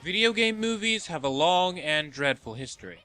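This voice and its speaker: A man's voice: fairly high pitch, lively intonation, moderate pace, music in the background. A man hosting and narrating a scripted video essay in an opinionated, sardonic manner. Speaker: host of a video game movie list show.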